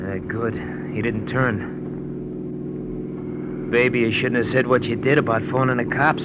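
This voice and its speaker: A man's voice: mid-range voice, fairly even intonation, a little slow, lightly acted. Man playing a muffled, menacing radio gunman. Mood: threatening. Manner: slangy tough-guy talk. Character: smooth-talking, menacing gunman, a small-time crook. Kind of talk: 1940s radio drama acting. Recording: muffled, noisy old radio recording.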